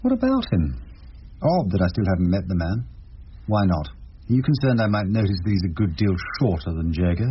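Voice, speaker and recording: An actor playing a shrewd, wry older English barrister in a radio drama, speaking deliberately and rhetorically. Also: male voice, low voice, sing-song. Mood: accusing, stern. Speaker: lead character and narrator. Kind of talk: BBC radio drama acting. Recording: dull radio recording with hiss.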